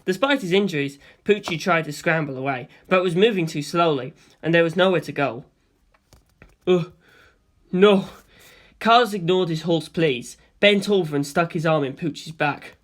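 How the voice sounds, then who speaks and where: A narrator reading a first-person story in an expressive, theatrical way. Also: mid-range voice, moderate pace, few pauses. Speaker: audiobook narrator.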